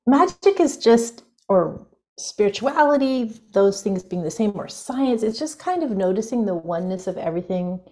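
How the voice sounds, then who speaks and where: A woman's voice: mid-range voice, lively intonation, moderate pace, a little mellow. A woman guest on an interview show, a spiritual teacher talking in a musing, loose way with many fillers and small laughs. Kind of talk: reflective interview conversation.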